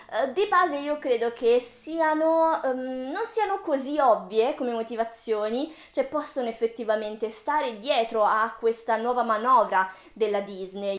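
A woman in her early twenties talking casually to her viewers in a video essay, with playful, ironic asides. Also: a little high. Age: early 20s. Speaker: video creator, host. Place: small room with bookshelves, indoors. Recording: roomy indoor echo.

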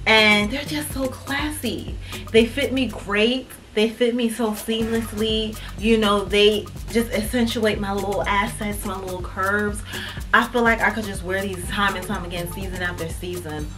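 Young woman, fashion vlogger, chatty and emphatic, mid-pitched voice, faint music underneath. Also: fairly even intonation, unhurried, fairly bright tone. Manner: chatty, emphatic, addresses viewers as y'all. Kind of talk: casual try-on haul chat. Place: indoors in front of a painted wood backdrop.

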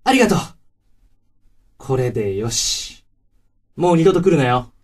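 A woman voicing a kind, earnest young boy ant with gentle boyish speech in a radio drama. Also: rumbling low, very animated, unhurried, breathy edge, exaggerated voice. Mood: earnest, concerned. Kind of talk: animated radio drama acting.

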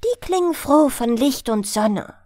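A woman acts out a tender little fairy-tale girl with a high, very melodic voice. She is earnest, pleading and selfless. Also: animated, measured pace, dark tone, no echo, clean studio sound. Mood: eager. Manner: earnest, pleading storybook delivery.

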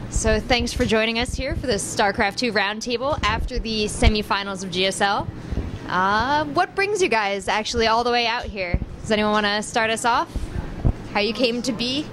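A woman's voice: fairly high pitch, normal speed, background noise. A young adult woman hosting a casual roundtable interview in a small restaurant, speaking into a handheld mic. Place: small pizza restaurant at night.

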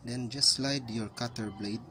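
A man giving informal step-by-step instructions in halting short phrases, pausing often as he presents a hands-on tutorial. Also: mid-range voice, steady tone, pushed and bright, slight background noise. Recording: outdoor ambience with birds and roosters. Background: roosters crowing, chickens, birds.

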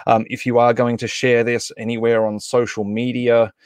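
A man's voice: medium pitch, flat delivery, moderate pace, clear, resonant tone, no room sound. A man in his thirties or forties hosting a livestream, casually making announcements and introductions in an off-the-cuff, hesitant way. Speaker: livestream host.

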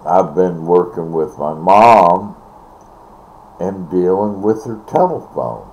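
An older man chatting casually and ramblingly, halting and repeating words, recorded on a device mic in a bedroom. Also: low-pitched, lively intonation, darkish tone. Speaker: vlogger. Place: small bedroom, indoors. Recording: dull, noisy webcam audio.

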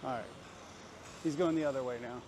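Male travel vlogger, mid-pitched voice, self-mocking walk-and-talk, recorded outdoors with clear traffic noise. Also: a little fast. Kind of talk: casual walk-and-talk narration to camera. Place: busy city street, outdoors. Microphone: handheld camera while walking.

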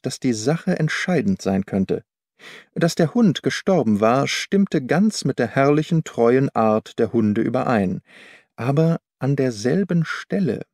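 A man reading literary audiobook narration in a measured, carefully articulated storytelling manner. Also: medium pitch, dark tone, no echo, studio quality. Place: dry studio.

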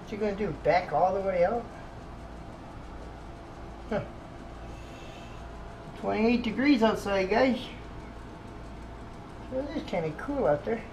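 A man's voice: sits high, expressive, slow pace, long pauses, a little mellow, highs cut off. A middle-aged trucker chatting to his viewers in a loose, folksy ramble as he moves around his home and truck. The handheld camera picks up plenty of noise behind his voice. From Ohio, United States. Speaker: trucker vlogger. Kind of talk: casual vlog talk to camera. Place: farmhouse kitchen, driveway and truck cab in cold weather. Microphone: handheld action camera. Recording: noisy handheld camera audio.

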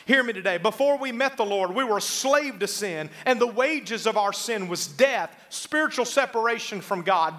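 Bright, high-pitched preacher man. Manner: emphatic, slowing on key phrases for repetition. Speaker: pastor. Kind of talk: sermon with congregational call-and-response. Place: church sanctuary stage, indoors.

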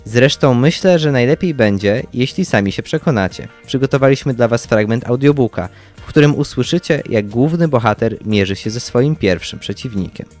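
A young male podcast host reviews books from prepared text. His voice is of medium pitch, with faint music behind it. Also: animated, moderate pace, hardly any pauses, a little mellow. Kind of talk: scripted book review.

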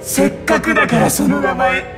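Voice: sits high, normal speed. An actor voicing a gleeful, sly, sinister disembodied being in a video game, amused and theatrical. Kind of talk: Japanese video game voice acting. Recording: music under the voice. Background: loud music.

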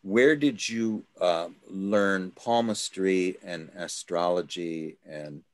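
A man leading a study group over a video call, asking questions in a halting way with many restarts. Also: deep voice, no echo. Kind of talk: casual group discussion.

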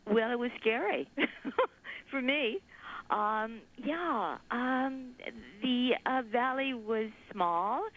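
Older lady winery owner calling in, kinda lo-fi line, lots of ums and uhs. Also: a little high. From Southern California. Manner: halting, many fillers, soft. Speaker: winery owner, interview guest.

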